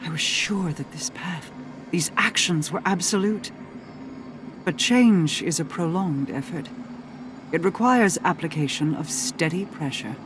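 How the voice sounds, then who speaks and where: Woman acting a stern queen, video game, calculating, formal, unhurried delivery, prominent score. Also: on the low side, over music, lightly acted. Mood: composed, solemn. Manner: measured, formal, imperious.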